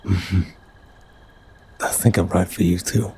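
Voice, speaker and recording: A man's voice: sits low, fairly even intonation, takes it slowly, warm tone, husky, heavily acted. A man playing an apologetic, nervous but charming mafia boss in an ASMR roleplay, chatty, wry and flirtatious. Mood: tender, flirtatious.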